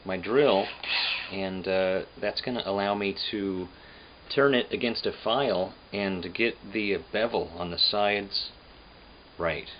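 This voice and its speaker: A man casually explaining guitar repair work in a halting, unscripted, plain-spoken way, as a craftsman hosting his own demonstration. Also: deep voice. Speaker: guitar repairer and restorer, host. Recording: dull lo-fi sound with hum and noise.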